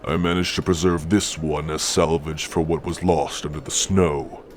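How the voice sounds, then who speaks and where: A man voicing a hulking, stern orc warrior in an ASMR roleplay, speaking in archaic threats with dark amusement. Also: a bass voice, steady tone, slight background noise. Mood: formal, reporting. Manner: slow, archaic, threatening phrasing.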